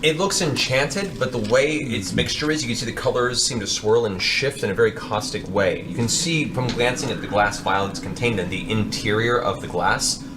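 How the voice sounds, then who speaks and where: A man's voice: medium pitch, normal speed, hardly any pauses, noisy background. A man running a tabletop fantasy game as game master, improvising descriptive, dramatic narration.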